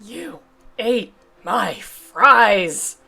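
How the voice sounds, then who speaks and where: Lady doing anxious puppet character, high voice swooping all over, melodramatic puppet show acting. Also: big pitch swings, very slow, vocal fry, bright, forceful tone, soft background music, full character voice. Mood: indignant. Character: excitable, anxious puppet characters in little skits.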